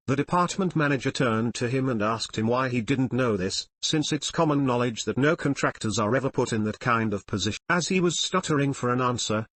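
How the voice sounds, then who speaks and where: Husky male narrator, close dry sound. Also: mid-range voice, fairly bright tone, no room sound. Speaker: narrator reading online forum posts and comments. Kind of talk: scripted narration of forum stories.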